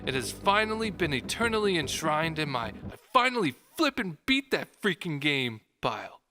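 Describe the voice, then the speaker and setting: A man's voice: on the high side, big pitch swings, normal speed, pushed and bright, a little hiss or hum. A man giving a dramatic, mock-grand reading of a scripted game review. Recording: music behind the voice. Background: background music.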